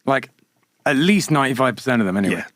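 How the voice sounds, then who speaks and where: A man's voice: mid-range voice, big pitch swings, fast, a bit bright, dry recording. Chill guy hosting a studio podcast, rambles a bit, dry close sound. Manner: laid-back, rambling, swears casually. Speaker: podcast host. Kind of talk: casual chat. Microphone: studio mic.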